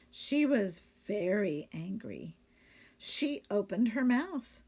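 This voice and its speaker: A woman teacher reading a children's story aloud, pausing to question and explain to young listeners. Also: mid-range voice.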